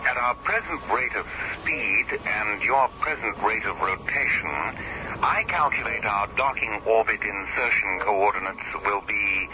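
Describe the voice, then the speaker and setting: A man playing a brilliant, self-assured gentleman detective piloting a spacecraft, precise and formal, speaking over a radio link. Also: lowish voice, a little slow. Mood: courteous, confident. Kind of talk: radio drama acting. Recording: dull, noisy radio-link sound.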